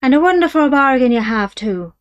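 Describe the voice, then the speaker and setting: A woman voicing a smooth-talking Irish male horse dealer with wheedling sales patter. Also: high voice, lively intonation, brisk, lo-fi sound, voice pushed up. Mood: coaxing.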